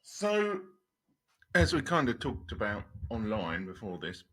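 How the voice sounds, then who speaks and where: A man's voice: lowish voice, wildly swooping pitch, unhurried, fairly bright tone. A man from Europe hosting a casual interview, asking long rambling questions with many restarts. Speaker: host.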